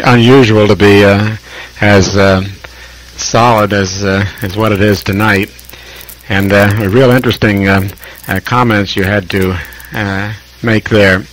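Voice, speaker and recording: An American man chatting casually as a ham radio operator, speaking in a halting, deliberate, reflective way with long pauses over shortwave radio. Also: lowish voice, poor audio. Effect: shortwave radio transmission.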